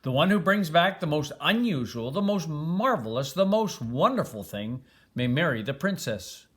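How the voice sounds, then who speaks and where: An older man voicing a storybook king who gives orders in a deliberate, emphatic way. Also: fairly high pitch, very animated, a bit bright. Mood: commanding. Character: storybook king setting a solemn test for suitors.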